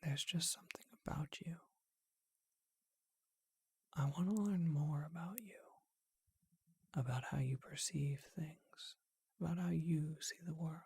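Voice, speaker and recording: A performer voicing a charming, courteous, bookish vampire shopkeeper in a breathy, husky whisper, with genteel and hesitant phrasing, for an ASMR roleplay. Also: mid-range voice, unhurried, pauses often, darkish tone, no echo, studio quality. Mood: tender, allured. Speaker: bookshop owner.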